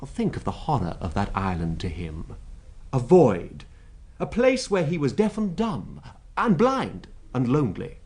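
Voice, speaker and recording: A man's voice: medium pitch, huge pitch swings, measured pace, darkish tone. A man acting in a radio drama with a British accent, playing a talkative, wryly amused royal secretary with an expansive, emphatic, rhetorical manner. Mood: wry, reflective.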